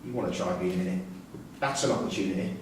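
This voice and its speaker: A man's voice: lowish voice, quick pace, a little mellow, lightly acted. A man playing a volatile, resentful prisoner with a confrontational, menacing manner in a staged drama reading. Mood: scornful. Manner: confrontational, aggrieved. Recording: very echoey hall, distant mic, hiss.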